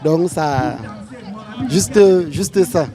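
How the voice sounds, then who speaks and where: A man with a high voice speaks slowly and haltingly, stumbling and repeating words as he answers. He is outdoors at a festival with music playing clearly in the background. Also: a crowd in the background. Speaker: festival visitor. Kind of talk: vox pop.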